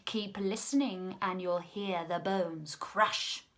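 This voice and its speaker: Woman playing a slow, menacing, greedy crocodile. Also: fairly low pitch, fairly bright tone. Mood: sly menace. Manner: slow, drawn-out, menacing relish. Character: enormous crafty greedy male crocodile, gleefully wicked.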